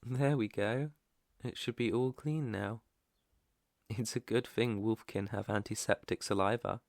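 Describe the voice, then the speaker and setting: A British man playing a protective, gentle, clueless alpha werewolf in an intimate ASMR roleplay, speaking softly and reassuringly close to the mic. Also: fairly low pitch, fairly even intonation, vocal fry, dry recording. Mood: tender, reassuring. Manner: soft, tender, unhurried, reassuring. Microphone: close microphone, dry.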